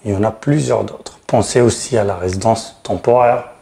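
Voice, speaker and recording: A man's voice: lowish voice, normal speed, vocal fry, dark tone, a little hiss or hum. Frank man. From Tunisia. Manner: frank, direct address, emphatic stress on key words. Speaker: channel host, regulated immigration consultant. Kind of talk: explanatory advice video to camera.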